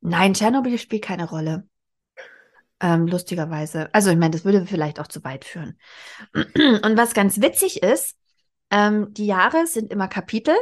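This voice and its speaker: A woman's voice: medium pitch, animated, moderate pace. Woman, forties, podcast host, mid-range voice, casual book talk, explanatory, thinking aloud. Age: 40s. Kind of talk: casual book discussion between co-hosts.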